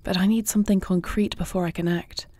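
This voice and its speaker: Woman acting a poised, low-voiced fantasy princess. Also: steady tone, mellow, dry recording, natural voice. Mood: resolute. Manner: formal, measured, regal diction. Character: poised young warrior princess, firm yet tender. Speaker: voice actor in audio roleplay. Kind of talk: fantasy audio roleplay acting. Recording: faint night cricket ambience.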